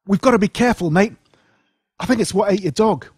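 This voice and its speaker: A man's voice: sits high, brisk, breathy edge, no echo, studio quality, pitched above the performer's own voice. A man with an Australian accent playing a loyal, protective young boy in a horror audiobook. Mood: urgent warning. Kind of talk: acted dialogue in horror audiobook.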